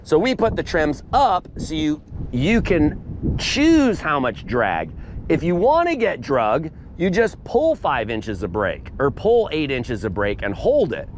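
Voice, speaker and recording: A man's voice: wildly swooping pitch, slow, slight background noise. Emphatic man teaching on a beach. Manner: emphatic, punchy asides like "boom" and "bam". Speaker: paramotor instructor. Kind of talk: outdoor class demonstration. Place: open sandy beach outdoors. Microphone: head-mounted camera mic.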